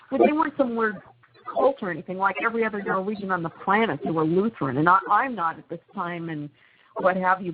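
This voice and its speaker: A woman telling family holiday stories in a chatty, laughing way as a guest on a call-in show. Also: mid-range voice. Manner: chatty, laughs often, storytelling. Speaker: radio guest. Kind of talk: casual call-in chat. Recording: tinny phone line with noise.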